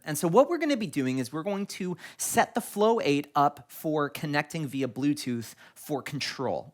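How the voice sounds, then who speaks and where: A man's voice: on the high side, fairly bright tone. A man hosting an instructional product tutorial, explaining things step by step. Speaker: tutorial video host.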